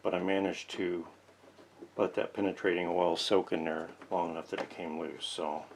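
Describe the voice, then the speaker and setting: A man with a low voice and a gravelly creak talks through hands-on repair work in a casual, folksy way. He thinks aloud as he goes, unscripted, with faint noise behind him. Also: little pitch movement, normal speed. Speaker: host of a vintage radio repair channel. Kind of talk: casual unscripted hands-on narration while working. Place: indoor workshop.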